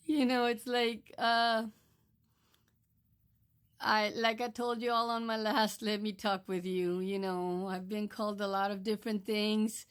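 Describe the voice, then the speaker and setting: Slow, firm older woman from Texas. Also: medium pitch. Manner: firm, admonishing, deliberate. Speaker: social media creator. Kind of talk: direct-to-camera monologue.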